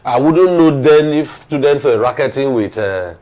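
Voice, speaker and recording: A man's voice: medium pitch, lively intonation, moderate pace, darkish tone. A man with a Nigerian accent speaking as an opinionated, emphatic guest in a TV panel discussion, leaning on anecdote.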